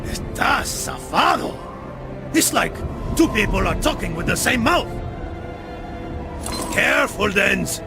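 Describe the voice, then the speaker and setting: A brash mercenary sidekick in a game cutscene. His voice is very high with a creaky edge and jumps about as he mocks excitably. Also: slow pace, pauses often, exaggerated voice. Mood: mocking. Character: brash, taunting mercenary companion of a knight. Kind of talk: dramatic video game cutscene acting. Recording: dramatic music behind the voice.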